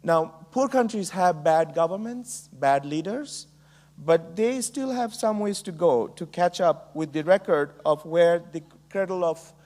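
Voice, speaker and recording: A man's voice: high-pitched, animated, a little mellow. Bangladeshi man giving a keynote. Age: middle-aged. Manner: measured, with frequent ums and uhs. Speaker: keynote speaker. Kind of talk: conference keynote speech.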